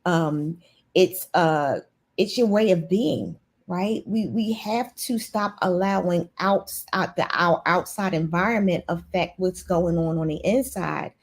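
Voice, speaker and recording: A woman's voice: fairly low pitch, measured pace. A woman guest in a casual interview, talking in an earnest, motivational way with frequent restarts. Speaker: guest, nurse CEO.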